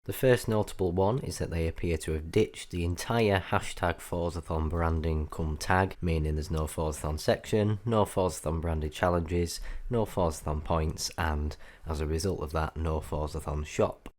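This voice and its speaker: A man with a notably low-pitched voice, a touch croaky from a cold. He narrates gameplay in a chatty, upbeat, explanatory way, like a gaming channel host talking straight to his viewers. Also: moderate pace, few pauses, darkish tone. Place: voiceover recorded apart from the gameplay.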